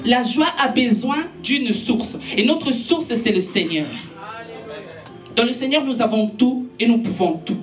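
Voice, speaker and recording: A woman's voice: a little high, animated, a little slow, far from the mic, faint music behind. A woman preaching a sermon to her church, an Italian native speaker, earnest and exhorting, lifting her voice when she preaches. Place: church gathering in a makeshift space.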